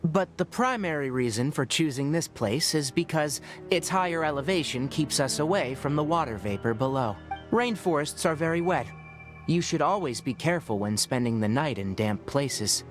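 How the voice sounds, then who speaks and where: A man voicing a patient, knowledgeable young ranger in a video game, calm and explanatory with a mildly teasing edge. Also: fairly high pitch, normal speed, natural voice. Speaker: forest ranger and guide. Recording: game audio with faint music behind. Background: faint game music.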